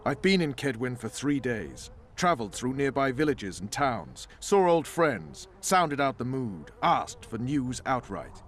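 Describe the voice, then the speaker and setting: An actor playing a calm, persuasive male conspirator in a fantasy game. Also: mid-range voice. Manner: measured, persuasive.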